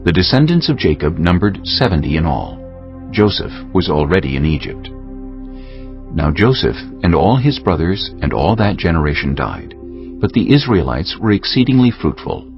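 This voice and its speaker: A man's voice: sits low, big pitch swings, normal speed. A man giving a scripted, dramatic narration of scripture as the narrator. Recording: muffled, clear music bed behind. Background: musical score.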